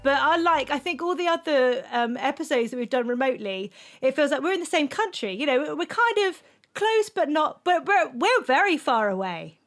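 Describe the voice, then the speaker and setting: A woman's voice: high voice, big pitch swings, normal speed, a bit bright. A woman hosting an interview podcast, encouraging her guest with small affirmations over a remote connection. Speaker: podcast host. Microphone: remote recording.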